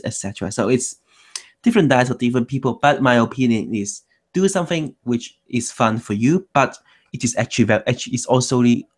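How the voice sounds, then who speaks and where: A man hosting an online language meetup in a loose chat, restarting his sentences often. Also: mid-range voice. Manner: loose, self-correcting, many restarts. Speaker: meetup host. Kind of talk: casual chat.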